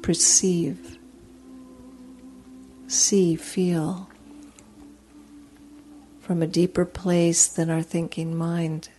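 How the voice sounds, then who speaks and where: A woman leading a guided meditation as a teacher, speaking in a soothing, gentle, unhurried way with long pauses. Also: fairly low pitch, flat delivery, soft background music.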